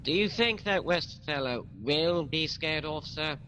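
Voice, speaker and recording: An actor playing a respectful, matter-of-fact police sergeant in a period radio crime drama. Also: male voice, a little high, expressive, measured pace, pushed and bright, slight background noise. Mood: dutiful. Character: dutiful, brisk male police sergeant.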